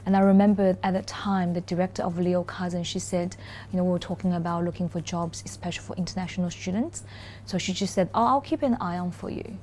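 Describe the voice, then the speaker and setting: A woman in her thirties from China, a Mandarin native speaking English with a Chinese accent, answering interview questions thoughtfully, with frequent "um" pauses and restarts. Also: fairly low pitch, fairly even intonation, dark tone, a little hiss or hum. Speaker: corporate lawyer, interview guest. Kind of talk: relaxed interview conversation.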